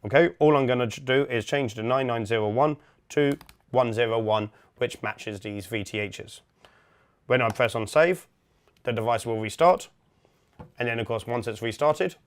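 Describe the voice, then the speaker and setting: A man giving an informal, step-by-step tutorial, explaining methodically as an instructor. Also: mid-range voice. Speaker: instructor, product demonstrator. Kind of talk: software setup tutorial, step-by-step walkthrough.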